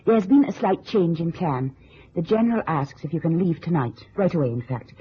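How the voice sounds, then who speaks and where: A woman's voice: lowish voice, expressive, normal speed, a little hiss or hum, natural voice. An actress playing a cool, businesslike female army courier in an old-time radio drama. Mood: cool, polite. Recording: muffled vintage radio recording.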